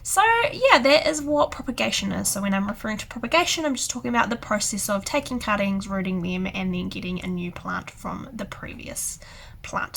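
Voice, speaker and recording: Hoarse, croaky New woman. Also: fairly high pitch, bright, forceful tone, a little hiss or hum. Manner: chatty and rambling, many "so yeah" fillers. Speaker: houseplant channel host. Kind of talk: casual tutorial to camera.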